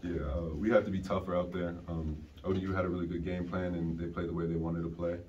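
A man's voice: low-pitched, fast talker, a little hiss or hum. A young man, a college basketball player, answering postgame questions briefly and matter-of-factly. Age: young adult. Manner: flat, brief, matter-of-fact. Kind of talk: postgame press conference answers. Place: press conference room, seated at a table. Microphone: table microphone on a stand. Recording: dull, distant sound.

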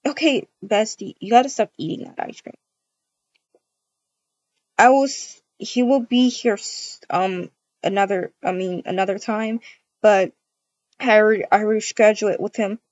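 A woman's voice: medium pitch, measured pace, pauses often, a little mellow, no echo, lo-fi sound. A woman speaking casually as she improvises a game roleplay and chats to viewers. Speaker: video creator acting out a roleplay.

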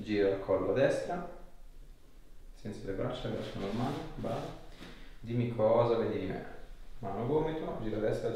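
A man's voice: lowish voice, warm tone. A man, an osteopath giving a patient casual, reassuring short instructions in a small clinic room. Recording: echoey small room, background noise, off-mic.

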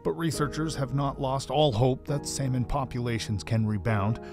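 A male reporter narrating a news piece in a measured, scripted way. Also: mid-range voice, fairly even intonation. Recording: music bed under voice-over.

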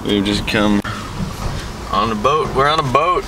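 A man's voice: fairly low pitch, measured pace, recorded from a distance. A young man in his twenties casually narrating a road trip from inside a car, joking like a tour guide. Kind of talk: casual travel commentary. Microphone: handheld camera at arm's length. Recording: loud car noise, slightly off-mic.

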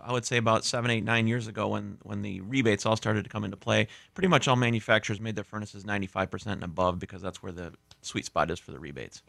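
Quick, gravelly-voiced male guest. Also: on the low side, fairly even intonation, a bit bright, no echo. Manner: matter-of-fact, explanatory, some restarts. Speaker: guest heating and cooling contractor. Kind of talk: radio interview answers. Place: small radio studio. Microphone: studio mic with headphones.

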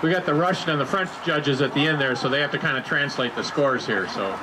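A man's voice: a little high, quick pace. A middle-aged man announcing a water ski show outdoors by a lake, interviewing skiers with folksy jokes over a handheld microphone. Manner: jokey, folksy banter. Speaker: event announcer. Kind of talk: live announcing and on-stage interview. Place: outdoor lakeside beach stage. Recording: PA voice with loud crowd and outdoor noise. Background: crowd and water noise.

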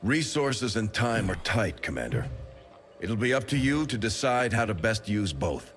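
Casting: grimmiger Offizier, sehr tief, lebendig betont, gemessener Briefing-Ton. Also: male voice, fairly low pitch, very animated. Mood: resolute. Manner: measured, serious briefing delivery. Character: male resistance commander, grim and resolute. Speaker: game character. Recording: game audio with faint music.

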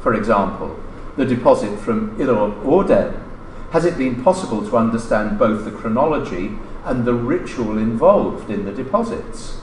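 A middle-aged man reading a scripted academic paper aloud at a lectern, formal and measured, with emphatic stresses. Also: medium pitch, lively intonation. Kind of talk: scripted conference lecture, read aloud. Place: indoor lecture room, standing at a lectern. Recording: roomy lecture-room echo, noticeable background noise.

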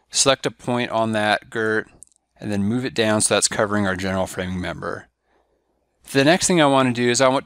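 A man giving a step-by-step software tutorial over a screen recording, walking through each click in a steady, instructive way. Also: lowish voice, clear, resonant tone, dry recording, clean studio sound. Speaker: software trainer.

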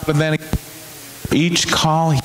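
A man reading a storybook aloud as a minister, with deliberate, expressive, drawn-out storytelling. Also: a little high, music in the background. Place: large church sanctuary before an audience. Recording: echoey church hall, clear background noise.

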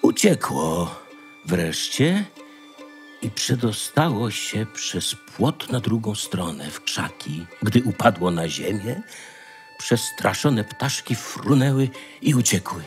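A man's voice: lowish voice, very animated, slow pace. Male narrator for fairy-tale audio drama, widely varied melody, faint music behind. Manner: dramatic storytelling.

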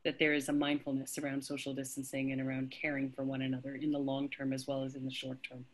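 A woman with a low voice talks through a video call in an online panel discussion. She speaks thoughtfully and pauses often with fillers. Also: completely flat delivery. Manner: thoughtful, hesitant with many fillers. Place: home indoors.

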